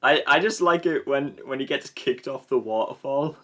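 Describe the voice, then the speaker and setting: A man's voice: medium pitch, moderate pace, bright, forceful tone. A man casually commentating on a film as one of a joking group of hosts. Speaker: host of a film commentary show.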